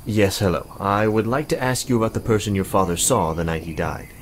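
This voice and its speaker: Casting: male actor as grim, absent-minded necromancer, very low voice, curt delivery, visual novel game. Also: lively intonation, brisk, a little hiss or hum, lightly acted. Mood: distracted, grave. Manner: curt, preoccupied.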